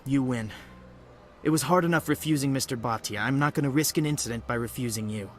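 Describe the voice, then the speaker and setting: An actor playing a defensive, formal human military bureaucrat in a video game, earnest in delivery. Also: male voice, medium pitch, quick pace, close to an everyday voice. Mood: resigned. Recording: faint noise and ambience.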